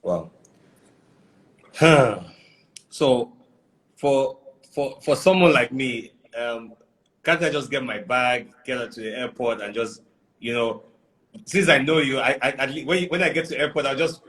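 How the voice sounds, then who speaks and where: A man's voice: medium pitch, unhurried, long pauses, fairly bright tone, poor audio. A man hosting an interview, asking pointed questions with a playful, prodding manner.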